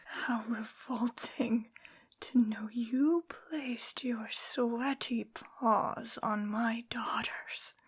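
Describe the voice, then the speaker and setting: Woman acting as female vampire aristocrat, menacing, imperious, very breathy whispering, extremely slow delivery, ASMR roleplay. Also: on the high side, fairly even intonation, exaggerated voice. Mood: wounded, scornful. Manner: imperious, slow, drawn-out scolding. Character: tall, haughty, menacing female vampire noble. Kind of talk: soft-spoken ASMR roleplay.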